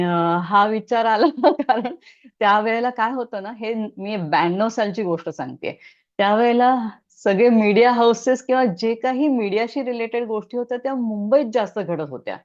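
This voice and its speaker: A woman's voice: mid-range voice, highs cut off. A middle-aged woman puppeteer, answering interview questions in a reflective, casual way with frequent hesitations. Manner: reflective, many hesitations, laughs softly.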